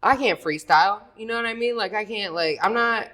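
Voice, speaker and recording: A woman with a deep, raspy, sleepy-sounding voice, talking about singing as a podcast guest in loose, profane casual chat. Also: brisk, bright, forceful tone. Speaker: singer guest.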